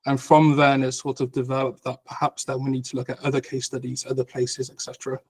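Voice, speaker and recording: A man's voice: mid-range voice, completely flat delivery, fast talker, a little mellow, slightly rough. A man in his thirties, a lecturer and podcast guest, explaining his ideas patiently with frequent ums. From Cardiff, Wales. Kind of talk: conversational explanation.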